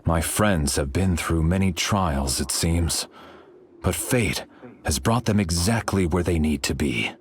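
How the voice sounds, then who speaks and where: An actor playing a solemn, noble otherworldly hero in a video game, speaking in a formal, stately, husky way. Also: male voice, deep voice, measured pace, slight background noise. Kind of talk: video game character voice lines.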